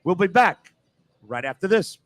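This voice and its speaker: High-pitched male radio host, dry sound. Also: big pitch swings, normal speed, no room sound. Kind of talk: live sports radio hosting and casual off-air chat. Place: casino venue in South Philadelphia.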